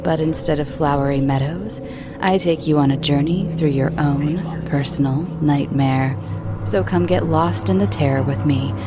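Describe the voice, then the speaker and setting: A woman reading a scripted promo as the host of a horror podcast. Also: lowish voice. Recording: music behind the voice. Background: background music.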